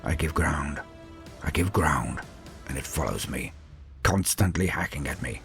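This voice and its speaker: A man voicing a proud, calculating veteran warrior lord in a sci-fi story, narrating his battle in solemn, theatrical and deliberate phrases. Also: very deep voice, vocal fry, faint music behind, exaggerated voice. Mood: tense, measured. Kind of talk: dramatic first-person story narration.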